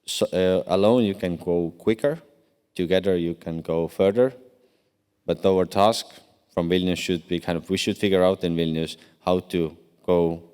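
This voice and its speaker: A man from Estonia answering on a conference panel, speaking haltingly with many fillers and restarts. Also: deep voice, fairly even intonation, a little mellow, clear, resonant tone. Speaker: deputy cyber commander on a panel. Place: large conference hall. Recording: long hall reverb.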